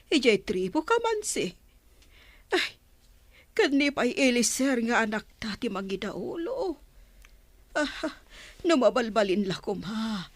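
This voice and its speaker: A woman's voice: a little high, no echo. A woman playing a worried, protective mother of a grown son in a radio drama, fretful in manner.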